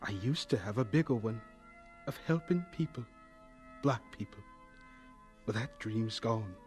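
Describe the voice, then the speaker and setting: An actor playing a gentle, earnest young homesteader with dreams, speaking reflectively in a folksy radio drama manner. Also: male voice, lowish voice, animated, a little slow, soft background music. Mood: wistful, earnest.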